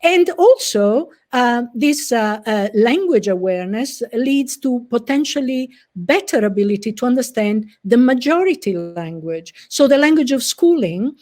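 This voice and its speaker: A middle-aged woman, presenting research in a measured, explanatory way with frequent fillers and restarts. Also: fairly high pitch, animated, darkish tone. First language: Italian. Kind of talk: recorded conference presentation.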